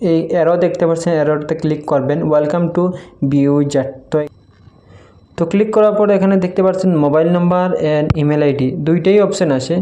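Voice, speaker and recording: Mellow-voiced man giving phone tutorial. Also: on the high side, steady tone, fairly quick. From Barak Valley, Assam, India. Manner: repetitive, instructional phrasing. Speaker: tutorial video creator. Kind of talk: informal step-by-step phone app tutorial. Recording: faint background noise.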